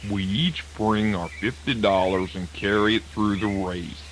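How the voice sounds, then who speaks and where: Sly old terrapin voiced by a male actor, low and very unhurried, courteous Southern drawl, children's radio play with light background noise. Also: fairly even intonation, natural voice. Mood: measured, challenging. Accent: Southern American. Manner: deliberate, folksy, courteous. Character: slow, dignified, sly old male terrapin in a folk tale. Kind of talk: children's radio drama acting. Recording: noisy old radio drama recording.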